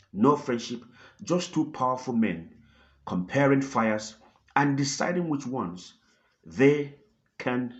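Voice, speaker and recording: Man, content creator, storytelling news commentary, animated swooping intonation, emphatic delivery, dull lo-fi recording. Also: on the low side.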